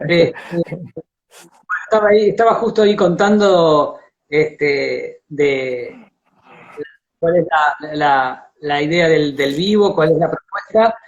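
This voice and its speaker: Argentine interviewer on a dull internet call. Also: male voice, fairly high pitch, animated. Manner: halting, circling back over phrases. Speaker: interviewer hosting a live stream. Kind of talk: casual live-stream interview. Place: live video call on social media.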